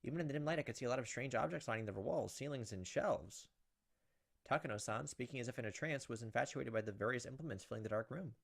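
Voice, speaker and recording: A man with a mid-pitched voice hosts a let's play of a visual novel at a quick clip. He reads the text out as dramatic narration, then slips into casual asides of his own. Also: creaky. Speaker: gaming video host. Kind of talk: let's play, reading a visual novel aloud with off-the-cuff reactions.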